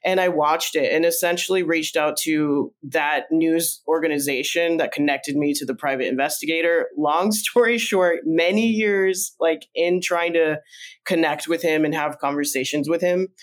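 Higher-voiced man telling a story animatedly. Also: moderate pace. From Colombia. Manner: animated, jumps up in pitch when acting out remembered quotes; loose and meandering, with frequent fillers and restarts. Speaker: podcast guest. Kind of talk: conversational storytelling.

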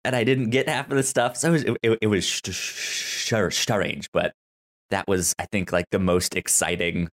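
A man hosting a podcast in casual TV review chat, with a halting, stammering delivery that often repeats words. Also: fairly low pitch, steady tone, fairly bright tone. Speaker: podcast host.

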